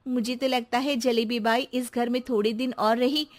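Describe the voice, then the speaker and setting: A woman voicing a bossy, expressive housewife in a cartoon story. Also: on the high side, moderate pace, lightly acted. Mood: amused, reassuring. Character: lively, bossy housewife, amused then exasperated. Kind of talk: Hindi cartoon story acting.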